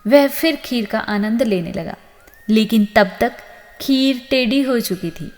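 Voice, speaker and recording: Woman, high voice, scripted storytelling, expressive host delivery, long echoing reverb. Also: unhurried. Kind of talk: scripted narration of a moral story.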